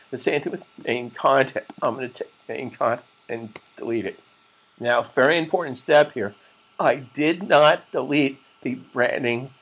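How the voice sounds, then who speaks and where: A middle-aged man with a raspy, strained voice, giving a patient step-by-step software tutorial as an instructor. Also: mid-range voice. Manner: plain, patient step-by-step explaining with frequent "okay?". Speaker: web design instructor. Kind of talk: screen-recorded software tutorial.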